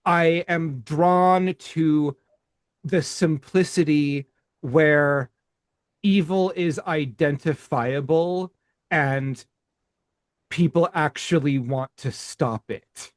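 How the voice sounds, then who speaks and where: Male podcast host, slightly high voice, unhurried and halting, reflective delivery, close dry recording. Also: no room sound. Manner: halting, thoughtful, carefully weighing words.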